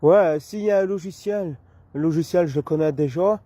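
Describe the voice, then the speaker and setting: A man's voice: on the high side, normal speed, dark tone, dry recording, lo-fi sound. A man with a Belgian French accent chatting casually as a radio hobbyist, explaining things in a rambling way.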